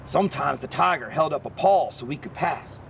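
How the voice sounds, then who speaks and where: A man reading a children's picture book aloud as an expressive narrator. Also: fairly high pitch, moderate pace, gravelly, a little hiss or hum. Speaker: story reader.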